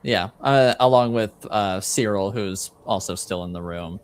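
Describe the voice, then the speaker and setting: A man running a tabletop game as game master, narrating off the cuff in halting, hesitant phrases. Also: lowish voice, clean tone.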